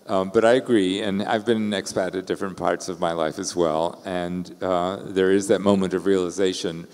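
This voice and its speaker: A very low-voiced man with an American accent from Texas, moderating a festival discussion. He speaks in an easygoing, agreeable way. His voice carries a long reverb from a large hall. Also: steady tone, normal speed, darkish tone. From Texas, United States. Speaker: panel moderator. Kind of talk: conversational panel talk.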